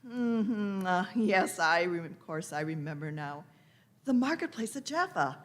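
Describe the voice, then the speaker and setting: A woman's voice: mid-range voice, sing-song, takes it slowly, fairly bright tone. A woman playing an eccentric, merry old lady time traveller in a radio play, with grand old-fashioned phrasing and repeated words. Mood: musing. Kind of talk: live radio-play acting.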